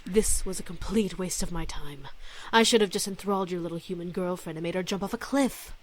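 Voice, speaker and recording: A woman's voice: on the low side, fairly quick, natural voice. A woman playing a haughty, seductive demon villainess in a visual novel, with a mocking, scornful delivery. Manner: mocking, taunting.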